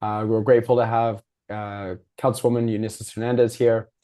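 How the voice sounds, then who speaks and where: A man with a hoarse, failing voice hosting a civic discussion over a video call, presenting prepared material informally. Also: lowish voice, flat delivery, normal speed, warm tone. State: hoarse, losing his voice. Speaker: moderator and host of a civic discussion.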